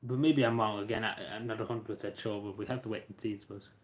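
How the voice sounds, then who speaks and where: A man's voice: lowish voice, steady tone, fast. A man chatting casually on a livestream, rambling and hesitant with lots of fillers.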